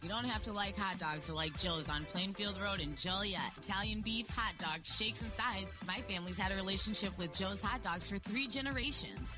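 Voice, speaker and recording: A woman giving a scripted radio advertisement read as an announcer. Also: medium pitch.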